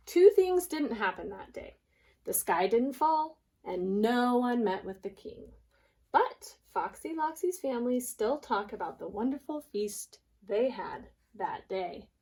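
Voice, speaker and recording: Slow, higher-pitched woman teaching preschoolers. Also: a little hiss or hum. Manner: deliberate, expressive, addressing small children. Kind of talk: children's read-aloud and lesson.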